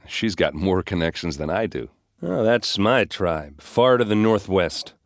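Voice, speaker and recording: Gruff warrior, video game character, hard-drinking, friendly, boastful, wry, dry studio sound. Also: male voice, deep voice, expressive, measured pace, dry recording. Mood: conversational, proud. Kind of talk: game dialogue and combat barks.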